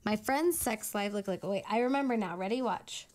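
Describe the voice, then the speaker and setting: A woman who grew up speaking Spanish chats on her own vlog at a middle pitch. Her talk wanders, packed with fillers and restarts. A faint hiss sits under the voice. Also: normal speed, a little mellow. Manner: chatty and rambling, with many fillers. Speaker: vlogger hosting her own show. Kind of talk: casual rambling chat, reading old letters aloud.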